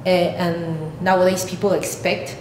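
A woman in her thirties from Peru with a Peruvian Spanish accent, an artist explaining her work haltingly, searching for words. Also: level tone. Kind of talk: explaining own artwork to camera. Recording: echoey room, clear rumbling background noise.

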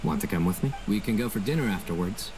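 Guy voicing stern anime game lawman, dry and deadpan, measured delivery, music in the background. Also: fairly low pitch, background noise, natural voice. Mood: dry calm. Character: stern, unflappable young male lawman, dry and terse. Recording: music behind the voice.

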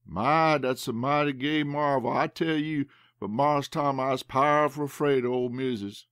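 A man's voice: mid-range voice, measured pace, fairly bright tone, clear, resonant tone, dry recording, lightly acted. Casting: fearful boy, voiced by a man, hesitant, dry close sound. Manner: hesitant, pleading. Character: timid young male servant boy.

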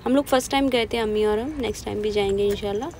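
A woman narrates a casual walking vlog, chatting as she points out shops and sights. Her voice has a gravelly edge. Passing traffic and street noise are clearly heard behind her. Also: medium pitch, rapid-fire, darkish tone. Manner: chatty, plainly pointing things out while walking. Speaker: vlogger. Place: outdoors on city streets.

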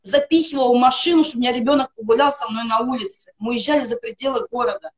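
A woman's voice: fairly high pitch, rapid-fire, low-quality recording. A middle-aged woman giving an earnest personal testimonial on a video call from home, piling up emphatic lists. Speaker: guest on a live stream. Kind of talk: personal testimonial for a wellness product. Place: home room, indoors.

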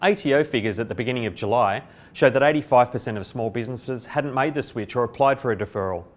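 A man's voice: medium pitch, fast. A middle-aged man with an Australian accent, delivering a scripted explainer to camera as a company presenter. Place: indoor office by a window. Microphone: lapel mic.

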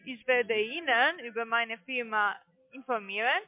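A woman with a foreign accent in halting German, working as a call centre agent and insistently questioning a customer. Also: on the high side, dry recording. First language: not German. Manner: halting, non-native phrasing, insistent questioning. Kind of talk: scripted cold-call sales pitch over the phone. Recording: tinny narrowband phone line.

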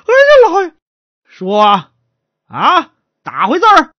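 Bullying county magistrate in a traditional tale, acted by a woman: high, barking, wildly swinging delivery, threatening and folksy. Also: huge pitch swings, slow, dry recording, dull audio, exaggerated voice. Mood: barking, impatient. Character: bullying, hot-tempered county magistrate, a man.

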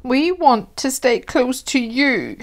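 A woman's voice: high voice, animated, slow, pitched above the performer's own voice, full character voice. Woman as a curious, anxious little storybook boy. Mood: scared.

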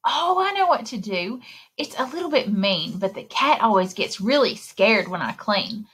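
A woman in her thirties reading a picture book aloud to children in an expressive, exclaiming way, speaking directly to her young listeners, with a slightly husky voice. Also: medium pitch, normal speed. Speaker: children's librarian and story time reader. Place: indoor room, recorded screen share with webcam.

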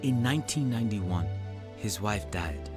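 Low-voiced earnest evangelist, played by a man, over music. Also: expressive, moderate pace, close to an everyday voice. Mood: reflective. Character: earnest middle-aged evangelist, gentle devoted son. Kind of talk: radio drama narration and dialogue.

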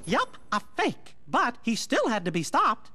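A man voicing a small cartoon mouse king for a video game, folksy, gentle and earnest in manner. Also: extremely high pitch, wildly swooping pitch, unhurried, exaggerated voice. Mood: earnest, explaining. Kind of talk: video game cutscene acting.

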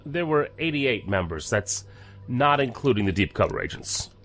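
A guarded, serious federal agent in a science fiction audio drama. His delivery is formal and measured, yet the pitch moves a lot. Faint music plays behind him. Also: sing-song, a bit bright. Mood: grave. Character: guarded, serious male federal agent back from deep cover.

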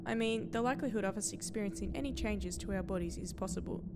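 A woman's voice: mid-range voice, fast talker, creaky, very noisy, natural voice. A woman playing a practical, analytical spaceship crew member in an audio drama. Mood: explaining. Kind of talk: science fiction audio drama.

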